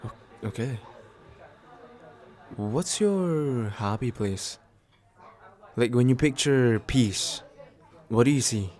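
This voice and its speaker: A man playing a tender, nervous, attentive date in a soft, intimate ASMR roleplay, halting and gently self-deprecating with small stammers. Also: medium pitch, animated. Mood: shy, gentle. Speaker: voice actor in an audio roleplay.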